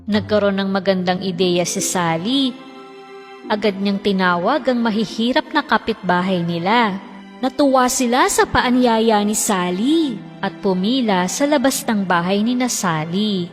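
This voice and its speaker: A woman's voice: medium pitch, measured pace, in a large hall, soft background music. A woman narrating a scripted children's fairy tale.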